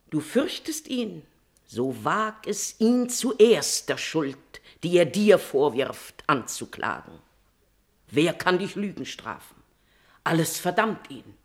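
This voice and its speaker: A woman's voice: fairly low pitch, sing-song, slow, full character voice. An actress playing a queen's devoted and scheming confidante in a classical tragedy, declaiming verse in an emphatic, persuasive manner. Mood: urgent persuasion. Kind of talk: declamatory classical German stage verse acting.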